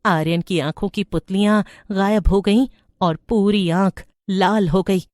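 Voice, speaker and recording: A woman's voice: medium pitch, steady tone, measured pace, darkish tone, no room sound, studio quality. A woman reading scripted narration for a horror story.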